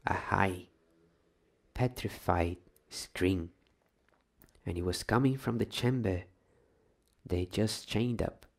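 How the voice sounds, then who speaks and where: A soft-spoken man reading a novel as an ASMR bedtime story in a hushed, deliberate, dramatised way. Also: sits low, creaky.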